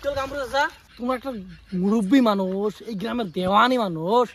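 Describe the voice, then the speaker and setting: A man's voice: high-pitched, fairly quick. A man playing an exasperated village pond owner in an amateur comedy skit, scolding and aggrieved, with a northern Bengali regional accent. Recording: clearly noisy amateur video sound.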